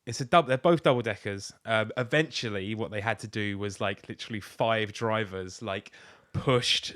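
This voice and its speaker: A man's voice: on the low side, normal speed, fairly bright tone. A man with a southern English accent co-hosting a podcast, telling sweary anecdotes in casual comic chat. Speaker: podcast co-host.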